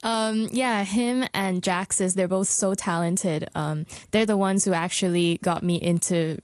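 A woman's voice: medium pitch, steady tone, unhurried, a little mellow, no echo, studio quality. A woman who grew up in Hong Kong, a singer chatting as a guest with many likes, ums and laughs. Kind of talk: casual interview chat.

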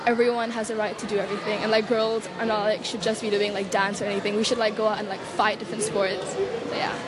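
A woman's voice: mid-range voice, little pitch movement, fast, a crowd in the background. A fourteen-year-old girl from Hong Kong answering casually as an interviewed student, somewhat hesitantly. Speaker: taekwondo student being interviewed. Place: busy public sports centre. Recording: loud crowded sports hall.

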